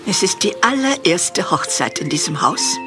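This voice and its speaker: Actress as dry, wistful old farm woman, lively inflection. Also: mid-range voice, moderate pace, over music, close to an everyday voice. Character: Marilla, prim elderly farm woman, dry, sentimental underneath. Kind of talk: German audio drama acting.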